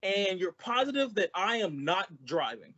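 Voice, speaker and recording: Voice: mid-range voice, sing-song, normal speed, bright, forceful tone, lo-fi sound. A performer playing a bumbling, easily confused male sidekick in an old-time radio comedy, full of wisecracking retorts. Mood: baffled.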